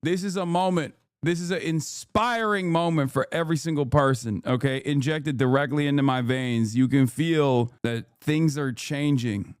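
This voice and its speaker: A man's voice: on the high side, moderate pace, few pauses, clear, resonant tone, no room sound, studio quality. A man in his thirties with a Texan accent, hosting a live stream with hyped, profane, rambling commentary. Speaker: streamer host.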